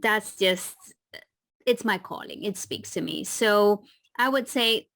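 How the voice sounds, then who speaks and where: A woman in her forties from the Dominican Republic, a leadership coach speaking as a podcast guest with many halting restarts. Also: mid-range voice, creaky, a bit bright, no room sound, studio quality. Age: 40s. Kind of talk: casual interview talk.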